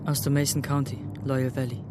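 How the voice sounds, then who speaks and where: A man's voice: medium pitch, little pitch movement, brisk, background noise, close to an everyday voice. Man playing a solemn young adopted Apache warrior. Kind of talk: German radio drama acting. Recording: music and outdoor sounds behind.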